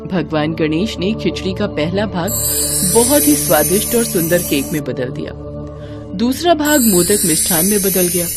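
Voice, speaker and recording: A woman narrating a devotional children's story in a slow, even storytelling manner. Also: lowish voice. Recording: music playing behind.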